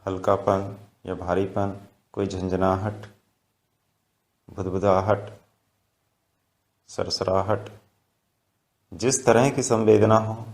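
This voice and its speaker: Man, meditation guide, lowish pitch, very slow delivery, calm, measured, instructional.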